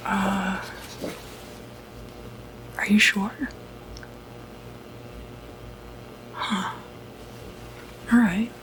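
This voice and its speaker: Over clear background noise, a calm, quietly puzzled doctor played by a woman whispers in a breathy ASMR roleplay voice. The delivery is slow and halting, with murmured hesitations, at a medium female pitch. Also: poor audio. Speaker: ASMR performer.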